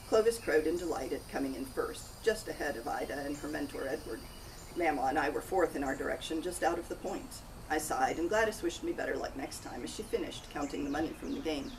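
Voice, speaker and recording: A woman's voice: deep voice, a little fast. Woman reading a novel, distant noisy recording. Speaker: author reading aloud from own novel. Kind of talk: first-person audiobook-style narration of a cozy mystery. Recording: distant mic, loud outdoor noise, birds, crickets.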